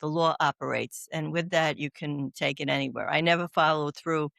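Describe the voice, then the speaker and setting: A woman's voice: deep voice, fairly even intonation, normal speed, creaky, fairly bright tone, dry recording, studio quality. An older woman from New York with a Brooklyn accent, a chatty, self-deprecating guest telling stories in a casual interview. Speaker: guest, oncology doula.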